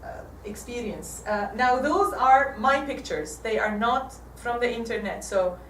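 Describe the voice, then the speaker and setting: An Egyptian woman in her forties gives a church talk with slides, halting and restarting often. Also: on the high side, a bit bright, a little hiss or hum. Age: 40s. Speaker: guest speaker. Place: church hall. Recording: echoey hall from far off.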